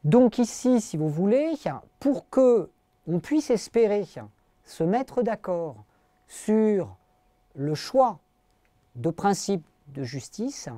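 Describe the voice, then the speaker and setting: A man's voice: high-pitched, very animated, dark tone, no echo. A middle-aged man giving an academic philosophy lecture, explaining carefully to his audience with frequent hesitations and fillers. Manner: hesitant, full of pauses and fillers; explanatory, addresses listeners directly.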